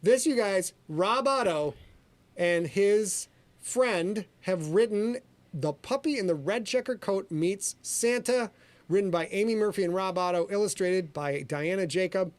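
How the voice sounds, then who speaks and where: A man hosting a casual podcast livestream, talking in an excitable, rambling, self-deprecating way. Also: high-pitched, unhurried. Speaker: podcast host and author.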